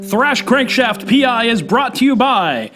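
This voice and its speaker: An actor playing a theatrical, grandiose announcer of an old-time detective radio serial. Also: male voice, very high-pitched, big pitch swings, measured pace, fairly bright tone, soft background music, full character voice. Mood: grand, theatrical.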